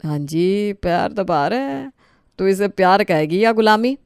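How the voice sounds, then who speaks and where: A man playing a smug older brother in a family comedy story, with sarcastic, drawn-out teasing. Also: high voice, animated, smooth, dry recording, clean studio sound.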